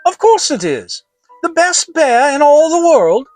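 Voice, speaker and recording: An excitable, affectionate little boy from a storybook, acted by a man. His pitch is lifted high and he talks with curious, childlike eagerness. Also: measured pace, dry recording, faint music behind, full character voice. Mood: excited.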